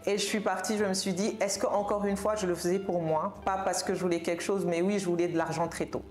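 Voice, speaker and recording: A woman's voice: on the low side, steady tone, quick pace, a little mellow. A woman telling her own story conversationally as a podcast guest, often repeating words for emphasis. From Senegal. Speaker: podcast guest, tech professional. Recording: roomy, reverberant room sound.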